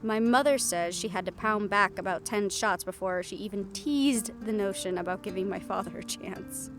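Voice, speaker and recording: A woman's voice: medium pitch, moderate pace, music in the background, lightly acted. A woman playing a young, wry and affectionate siren-banshee hybrid in an intimate roleplay audio drama, talking in a teasing, conversational way. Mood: wry, amused. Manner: soft-hearted, teasing, conversational storytelling.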